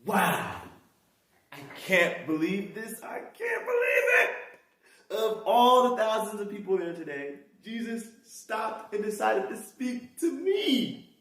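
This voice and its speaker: Zacchaeus, an amazed tax collector. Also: male voice, high voice, slow, full character voice. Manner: talks to himself, animated and amazed. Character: Zacchaeus, excitable repentant tax collector man. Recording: roomy echo from a distance.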